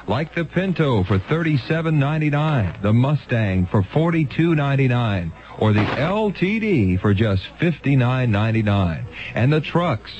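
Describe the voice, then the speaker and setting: A man reading a hard-sell car dealership advertisement as a radio announcer. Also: mid-range voice. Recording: dull, muffled radio broadcast.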